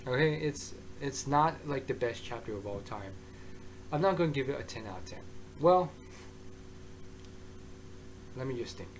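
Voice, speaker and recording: Hoarse, excitable man reviewing. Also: mid-range voice, normal speed, long pauses, a little hiss or hum, poor audio. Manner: excitable, rambling, with many restarts. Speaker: manga reviewer. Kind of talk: casual review monologue.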